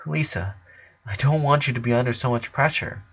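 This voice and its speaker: A man's voice: mid-range voice, a little fast, fairly bright tone, breathy edge, dull audio. A man voicing an eleven-year-old boy, a caring big brother who speaks plainly and earnestly in a story. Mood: gentle, concerned. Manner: earnest, reassuring, plain-spoken.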